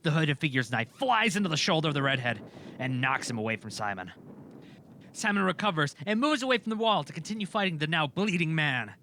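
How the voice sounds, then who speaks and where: Male audio drama narrator: slightly high, bright, forceful tone for dramatic scripted storytelling. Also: big pitch swings, a little fast, a little hiss or hum.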